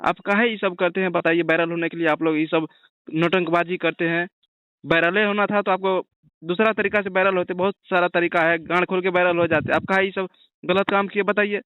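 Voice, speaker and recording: A man's voice: sits high, steady tone, brisk, clean tone, no echo. A man conducting a blunt, accusing interview over the phone, badgering with pointed questions. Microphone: phone call. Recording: narrow tinny phone-line call.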